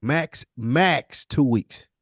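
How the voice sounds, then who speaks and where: A man's voice: medium pitch, lively intonation, very slow, fairly bright tone, slightly rough, dry recording, studio quality. An American man in casual podcast chat, talking bluntly and emphatically with plenty of slang and swearing. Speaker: podcast co-host.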